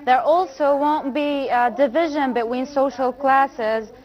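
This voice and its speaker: A woman panelist in a studio discussion, explaining points haltingly with many fillers. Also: high voice, steady tone, highs cut off.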